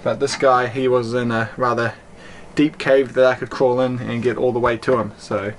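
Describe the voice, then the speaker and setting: A man in his thirties chats casually to camera with a medium-pitched voice. He is recorded a short way from the mic on a boat, with some noise behind him. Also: little pitch movement, normal speed. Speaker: sailing vlogger. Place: small cabin and deck of a sailboat at sea. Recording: clear boat and outdoor background noise.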